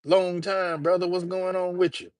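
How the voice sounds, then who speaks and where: A man's voice: high-pitched, little pitch movement, normal speed, darkish tone, smooth, no room sound. Slangy man chatting on a livestream. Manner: loose, slangy, talks straight to chat. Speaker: livestream host. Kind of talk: casual livestream chat with viewers.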